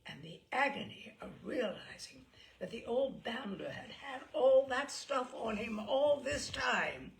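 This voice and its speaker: A woman reading a comic story aloud in an expressive, droll, theatrical narrating style. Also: on the high side, a little slow, gravelly, low-quality recording. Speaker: story reader.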